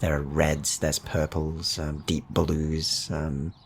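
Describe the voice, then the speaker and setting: A man playing a chatty travelling cloth merchant in a tabletop roleplay, halting and polite as he talks. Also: extremely low pitch, little pitch movement, gravelly, slight background noise, exaggerated voice. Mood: eager, hesitant.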